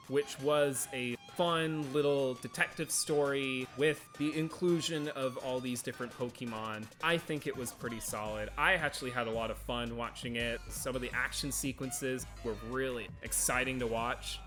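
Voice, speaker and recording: A man's voice: medium pitch, a bit bright. A man giving a casual film review as co-host of a movie review show.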